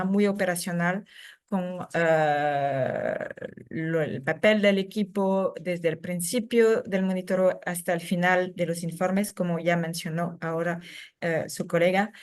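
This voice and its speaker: A woman speaking Spanish as a second language, giving a conference talk over a video call, with many fillers and restarts. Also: mid-range voice. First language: not Spanish. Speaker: secretary general of a human rights NGO.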